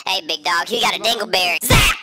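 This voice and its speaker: A man's voice: extremely high pitch, heavy background noise, voice pushed up, exaggerated voice. A man voicing a mischievous little animal prankster in a comedy dub, taunting in slang.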